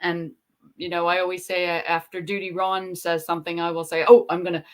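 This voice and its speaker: A woman's voice: on the low side, flat delivery, brisk. A woman from Canada with a mixed English and Canadian accent, chatting casually as a guest on a video call. She rambles and laughs often. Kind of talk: casual chat.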